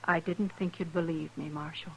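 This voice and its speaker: A woman's voice: low voice, steady tone, normal speed, gravelly, low-quality recording. An American woman acting a proud, wounded, hard-bitten frontier widow in a 1950s radio western, blunt and plain-spoken. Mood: guarded, bitter. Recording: faintly hissy 1950s radio recording.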